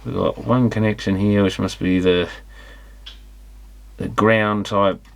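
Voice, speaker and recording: A man's voice: lowish voice, fairly even intonation, takes it slowly, gravelly. A man giving casual, unscripted teardown commentary on electronics, musing and thinking aloud as he examines things. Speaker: electronics repair hobbyist presenting a teardown.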